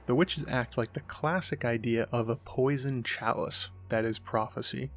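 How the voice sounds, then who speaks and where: Mid-pitched man narrating a scripted essay. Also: normal speed. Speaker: host of a literary video essay.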